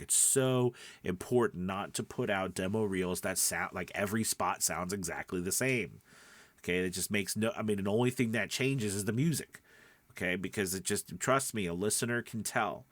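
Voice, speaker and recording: A man's voice: lowish voice, moderate pace, no room sound. A man giving casual instructional talk as a voiceover coach, conversational and emphatic, often checking in with the listener. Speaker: voiceover coach and podcast host.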